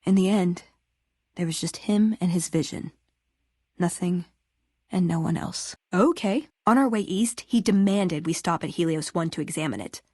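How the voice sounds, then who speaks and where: A woman's voice: on the low side, normal speed, warm tone, breathy edge, no echo. An actress playing a young, wry and idealistic woman scribe in a video game, speaking conversationally with dry humour. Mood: reflective. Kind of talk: acted video game companion dialogue.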